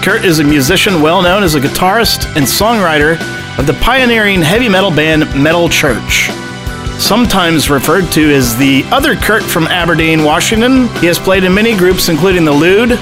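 A man's voice: a little high, expressive, moderate pace. A man hosting a music podcast, reading a scripted intro and then asking friendly, loose interview questions. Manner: friendly, loose, light laughs. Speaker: podcast host.

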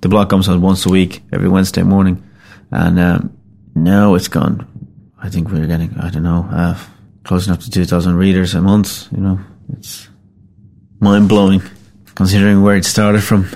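A middle-aged Finnish-born man with a Finnish accent, a rock musician talking casually in English, his second language, in a halting, rambling, self-deprecating way. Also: low-pitched, level tone, vocal fry, a little mellow. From Finland. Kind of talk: casual spoken account.

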